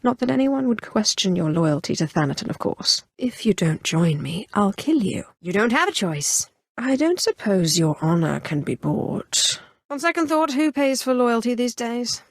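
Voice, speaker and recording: A woman's voice: on the high side, sing-song, moderate pace, dry recording, studio quality. A woman voicing a ruthless, sardonic Sith sorceress in a video game, haughty, cool and ambitious. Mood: cold menace. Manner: haughty, cool, dryly sarcastic. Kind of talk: scripted video game voice acting.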